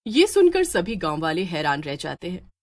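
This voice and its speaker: A woman's voice: fairly low pitch, wildly swooping pitch, normal speed, a little mellow. Woman narrating a children's story.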